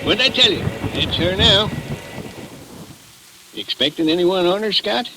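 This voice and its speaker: A man acting a folksy, unhurried small-town railroad hand in a 1940s radio drama, with a rural, colloquial way of talking. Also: high voice, lively intonation, bright, forceful tone, full character voice. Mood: folksy, easygoing. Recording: noisy old radio recording, heavy hiss.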